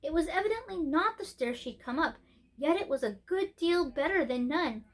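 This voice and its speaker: High-voiced woman reading a storybook aloud. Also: lively intonation, normal speed. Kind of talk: scripted narration, storybook read-aloud.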